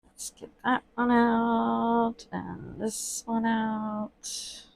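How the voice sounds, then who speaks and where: A woman talking slowly and chattily as she works at her table, full of ums, her voice often dropping to a murmur. She sniffles now and then from allergies. The sound is dry and close, with no room echo. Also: a little high, fairly even intonation, vocal fry. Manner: chatty, many fillers, quiet and murmured while working. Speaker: reborn doll artist. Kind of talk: casual crafting tutorial vlog. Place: indoors at a worktable.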